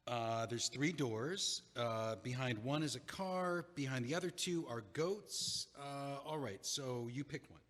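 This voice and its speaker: A man's voice: mid-range voice, fairly bright tone, close to an everyday voice. A man playing a heartbroken, stubborn young guy in an audio play, earnest and exasperated, with a hesitant way of talking. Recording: long echoing hallway reverb.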